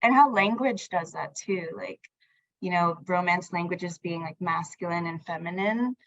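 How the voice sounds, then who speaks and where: A woman's voice: on the low side, normal speed, a bit bright, dry recording, highs cut off. A woman whose first language is Tagalog, talking casually in a group discussion, rambling and thinking aloud with plenty of "like".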